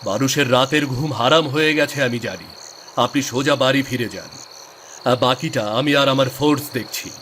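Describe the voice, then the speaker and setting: A man's voice: medium pitch, moderate pace, a bit bright, close to an everyday voice. A man voicing a stern, commanding police inspector in a cartoon, speaking formally and with authority. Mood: stern, resolute. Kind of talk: dramatic cartoon dubbing. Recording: clear night insect noise behind. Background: crickets, frogs, night insects.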